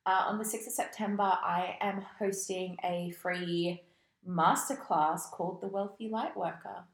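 A woman's voice: fairly low pitch, fairly even intonation, a little slow. Chatty woman podcast cohost, swears a lot, giggly, echoey room. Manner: bubbly, swears freely, laughs often. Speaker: podcast co-host. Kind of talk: casual chat.

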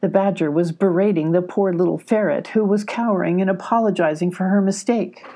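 An older woman narrating a children's storybook as host and reader, in an unhurried, expressive way. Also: mid-range voice.

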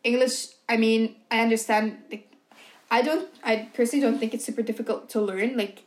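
A woman's voice: a little high, fairly even intonation, brisk. Chatty young woman. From Belgium. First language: Dutch. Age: young adult. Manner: chatty, heavy use of "like" and "um". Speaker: podcast co-host. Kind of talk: casual chat.

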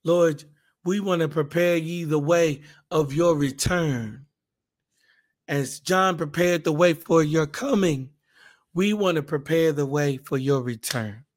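A man's voice, hoarse and raspier than usual, sitting a touch above typical pitch. He talks slowly and casually as a Bible podcast host, rambling a little, and turns fervent when he prays. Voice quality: raspy.

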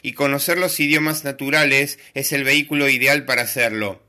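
A man with a Buenos Aires Spanish accent speaks fluently and assuredly. His voice sits at a medium pitch and has a very bright, sharp edge. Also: steady tone, quick pace. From Argentina. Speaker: guest speaker. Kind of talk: prepared self-introduction.

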